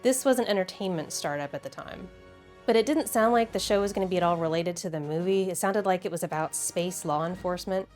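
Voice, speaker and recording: Expressive woman over background music. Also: on the low side, brisk. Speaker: video host. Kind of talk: casual video commentary with game play reactions.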